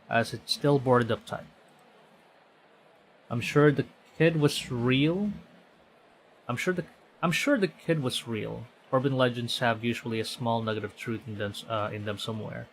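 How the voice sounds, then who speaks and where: A man's voice: mid-range voice, a little hiss or hum, poor audio. A man reading a visual novel aloud on stream, conversational, often stumbling and correcting himself. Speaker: VTuber streamer.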